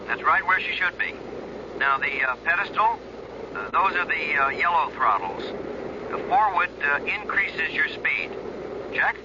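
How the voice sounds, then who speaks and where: An actor in a radio drama playing a calm colonel who coaxes a novice pilot along in a reassuring, halting, instructive way. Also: male voice, fairly high pitch, noisy background. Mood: patiently instructing. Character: calm, coaxing air force colonel guiding a novice pilot. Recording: muffled radio recording, aircraft drone behind.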